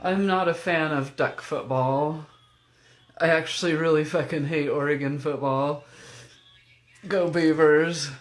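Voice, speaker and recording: An older woman with a deep voice, venting to her camera in a blunt, profane, rambling vlog. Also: steady tone, a little slow. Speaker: vlogger. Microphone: camera mic.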